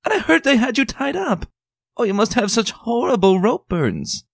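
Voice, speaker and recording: A man's voice: extremely high pitch, big pitch swings, moderate pace, creaky, no room sound, studio quality, voice pushed up, exaggerated voice. A man voicing a fussy, theatrical young woman unicorn who speaks in a dramatic, refined, gushing way.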